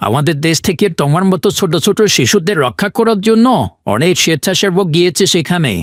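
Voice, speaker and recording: Guy acting a calm fatherly cartoon doctor, pretty high voice, no echo. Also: fast, clean studio sound. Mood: reassuring.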